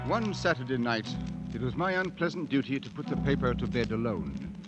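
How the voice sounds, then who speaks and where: A man's voice: medium pitch, sing-song, a little fast, over loud music, natural voice. An actor playing a skeptical English newspaperman in a 1950s radio drama, with an educated, dramatic delivery. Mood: brooding. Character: weary, skeptical English newspaperman narrating his tale. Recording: dull vintage radio recording, long reverb.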